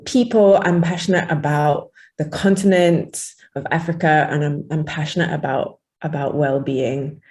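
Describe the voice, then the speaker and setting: A woman's voice: on the low side, darkish tone. A woman joining a workshop by video call, introducing herself playfully and laughing between phrases. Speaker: workshop participant.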